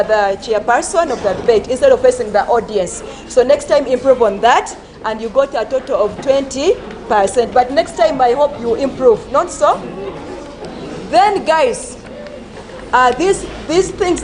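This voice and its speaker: A woman teacher reading out debate scores and advising pupils, speaking slowly with many fillers and repeated words. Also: on the high side, a little mellow, a little hiss or hum. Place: school assembly.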